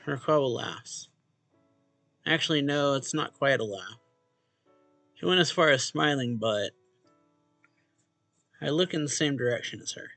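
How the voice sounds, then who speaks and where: A man's voice: mid-range voice, expressive, a little slow, vocal fry, pauses often, fairly bright tone, no room sound. A man casually reading a visual novel's dialogue and first-person narration aloud as a let's play host. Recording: game music behind the voice. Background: game music.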